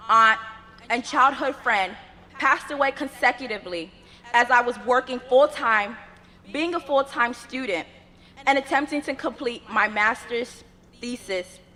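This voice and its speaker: A woman's voice: fairly high pitch, bright, forceful tone. Earnest young woman speaking in a reverberant hall. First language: Yoruba. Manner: earnest, measured oratory with rhythmic lists. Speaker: graduate student speaker. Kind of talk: commencement address. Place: graduation ceremony venue. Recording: long reverb of a large ceremony space.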